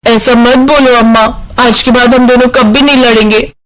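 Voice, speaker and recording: A man voicing a young, quarrelsome, sharp-tongued daughter-in-law with a dramatic manner in an animated moral story. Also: very high voice, normal speed, voice pushed up, poor audio. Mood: anxious, pleading. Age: young adult. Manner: bickering, dramatic.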